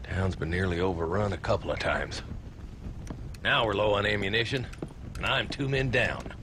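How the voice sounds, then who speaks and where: An actor plays a weary town marshal in a western video game. His voice is deep but moves a lot in pitch, dry and wry in delivery, with clear outdoor ambience around him. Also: expressive, moderate pace, noisy background, natural voice.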